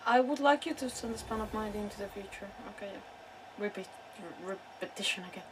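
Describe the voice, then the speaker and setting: A woman's voice: mid-range voice, a little fast, background noise. A woman game streamer giving casual live reactions in short, blurted exclamations.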